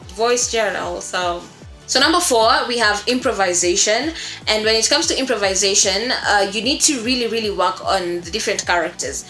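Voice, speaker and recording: A woman's voice: medium pitch, sharp tone. A woman giving an informal tutorial as a voice-acting coach, speaking in an encouraging, emphatic way and often repeating herself for stress. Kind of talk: informal instructional talk to viewers. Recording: roomy echo with music behind. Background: music.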